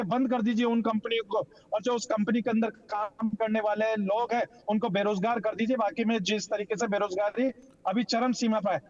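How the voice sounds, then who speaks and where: A man's voice: high voice, a little mellow. A man arguing insistently as a TV debate panelist, with rhetorical, repeated points, over a lo-fi link. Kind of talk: argumentative TV debate. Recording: dull lo-fi remote link.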